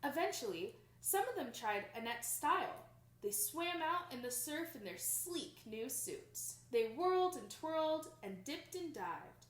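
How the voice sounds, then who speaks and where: A young adult woman reading a picture book aloud to children in an expressive, emphatic storytelling manner. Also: high voice, a little slow. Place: small plain indoor room. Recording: roomy indoor echo.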